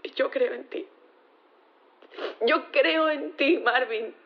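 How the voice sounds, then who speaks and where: Devoted wife, high voice, emotional and insistent, bit muffled, audio drama. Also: female voice, takes it slowly. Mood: tender, pleading. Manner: emotional, insistent, repeating words. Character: devoted, pleading wife of a condemned robot.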